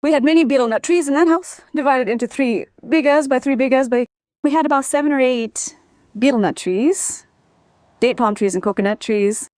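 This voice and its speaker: Lady guest telling family stories, quotes everyone, clear voice, no room echo. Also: sits high, normal speed, a little mellow. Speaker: interview guest. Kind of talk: personal storytelling.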